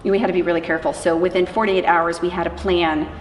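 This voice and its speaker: Female interviewee, lowish voice, informal storyteller, distant roomy recording with faint noise. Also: flat delivery, fast talker, highs cut off. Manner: informal, acts out quoted dialogue. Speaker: interviewee, anti-trafficking worker. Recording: echoey room from far off, faint noise.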